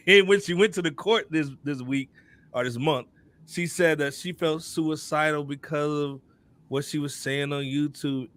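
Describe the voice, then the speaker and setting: A man with an American accent co-hosting a podcast in casual, slangy talk full of shout-outs. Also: on the high side, clean tone. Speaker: podcast co-host.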